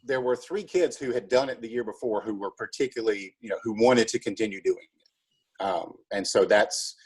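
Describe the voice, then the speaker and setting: A man with a calm voice, giving casual, explanatory interview answers like a teacher. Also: fairly low pitch, normal speed, breathy edge, low-quality recording. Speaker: high school math teacher and drama director.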